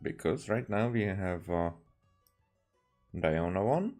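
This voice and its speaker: A man doing casual gameplay commentary as a streamer, with a halting, hesitant delivery. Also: low voice, big pitch swings, darkish tone. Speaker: game streamer. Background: game music.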